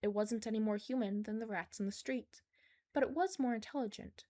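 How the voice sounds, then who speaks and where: A woman's voice: fairly high pitch, fairly quick, warm tone. A woman reading fan fiction aloud as a scripted story narration.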